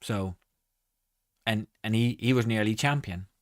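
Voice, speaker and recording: A man's voice: fairly low pitch, measured pace, no room sound, clean studio sound. A man hosting a casual live stream, reading viewer comments aloud and answering with many ums and restarts.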